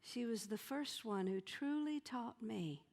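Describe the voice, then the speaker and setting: A woman with a Southern US accent telling comic stories on stage in a folksy, dramatic manner with pauses for effect. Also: fairly high pitch, expressive, darkish tone. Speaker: comedian and storyteller. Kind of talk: theatrical comic storytelling to an audience. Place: theater stage.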